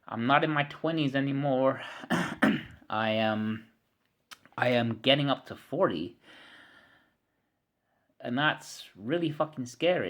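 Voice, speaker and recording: A man in his thirties rants to his camera in a rambling, frustrated monologue. He repeats words, swears freely and often clears his throat. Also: medium pitch, fairly bright tone. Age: 30s. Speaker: vlogger.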